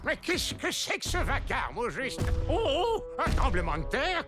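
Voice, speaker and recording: A woman's voice: high-pitched, very animated, unhurried. A woman voicing a grumpy, indignant little householder in a French cartoon, grumbling and exclaiming in exasperation. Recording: loud music behind the voice.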